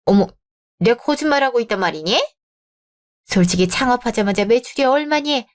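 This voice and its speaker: A woman's voice: a little high, lively intonation, a little fast, close to an everyday voice. A woman voicing a proud, pushy middle-aged mother who dotes on her son and talks down to his bride in a radio drama. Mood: haughty. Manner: doting on her son, condescending.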